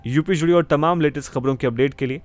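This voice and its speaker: A man's voice: fairly high pitch, fast. A man presenting a scripted news explainer in the manner of an anchor. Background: background music.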